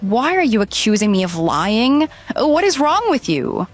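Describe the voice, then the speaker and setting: A woman's voice: a little high, big pitch swings, measured pace, faint music behind. Flustered, defensive runaway bride, acted by a woman. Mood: indignant. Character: deceitful runaway bride, pleading, defensive and indignant. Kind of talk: dramatized phone dialogue.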